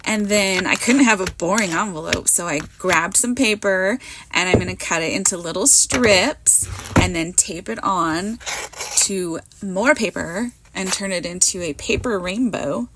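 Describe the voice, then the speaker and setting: Hoarse woman chatting through a craft voiceover. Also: medium pitch, measured pace, background noise. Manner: rambling, chatty, self-mocking. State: hoarse from a cold. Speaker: card maker.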